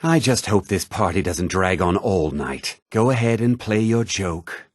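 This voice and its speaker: An actor playing a haughty, self-important nobleman in a fantasy video game, with a husky voice and a pompous, condescending, theatrical delivery. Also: deep voice, lively intonation, a little slow, no echo, clean studio sound, exaggerated voice. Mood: disdainful. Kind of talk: scripted fantasy game dialogue lines.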